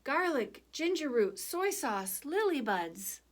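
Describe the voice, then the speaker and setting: A woman's voice: sits high, sing-song, slow, pitched above the performer's own voice, full character voice. A woman voicing eager villagers in a children's storybook, exclaiming helpfully as they offer things. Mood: excited. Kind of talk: children's storybook character reading.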